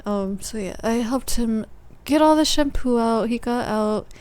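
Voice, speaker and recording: Soft-spoken woman podcaster. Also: a little high, measured pace, clear, resonant tone, no echo. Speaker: podcast host. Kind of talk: casual monologue recounting dreams.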